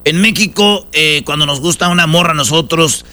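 A man with a Mexican accent hosting a casual show, bantering and commenting in animated street slang. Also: a little high, moderate pace. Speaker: radio show host.

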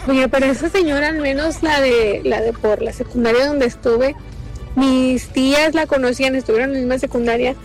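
A woman's voice: high voice, steady tone, fairly quick, soft background music, rough recording. A woman leaving a chatty voice message for a radio show, reminiscing about school days. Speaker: listener sending a voice message. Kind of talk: casual voice message.

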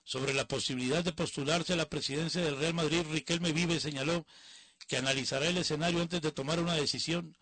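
Male news commentator, higher voice, husky edge, reads then mocks. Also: steady tone, a little fast, a bit bright, no echo. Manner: mocking, opinionated asides between read passages. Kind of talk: reading news with casual commentary.

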